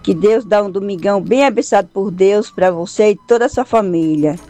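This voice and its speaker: A woman's voice: medium pitch, fairly quick, mellow. A woman caller asking for a song and reading out a long list of dedications. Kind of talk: phone call to radio.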